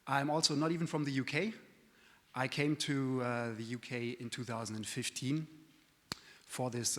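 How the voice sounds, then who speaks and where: A man for whom English is a second language addresses a conference audience. He is friendly and self-deprecating, often pausing on fillers. His voice carries a long reverb from the large hall. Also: mid-range voice. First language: not English. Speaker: conference speaker. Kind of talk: conference presentation.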